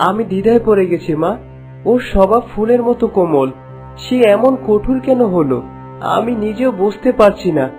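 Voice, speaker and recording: A man's voice: high voice, lively intonation, moderate pace, darkish tone, soft background music. A man voicing an earnest, troubled young prince in a cartoon, speaking politely. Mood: earnest, concerned. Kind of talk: cartoon voice acting.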